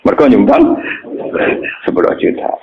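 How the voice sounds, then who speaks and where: A middle-aged man with a Javanese accent gives an informal religious lecture as a cleric, speaking colloquially and bluntly in anecdotes, with rhetorical check-in questions. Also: normal speed. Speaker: Islamic cleric and religious teacher. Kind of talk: informal religious lecture mixing storytelling with teaching. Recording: dull, echoey, noisy lo-fi recording.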